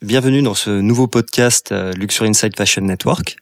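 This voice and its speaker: A man's voice: on the low side, moderate pace. A man hosting a fashion podcast, asking questions hesitantly with many fillers. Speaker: podcast interviewer. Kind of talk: interview questions.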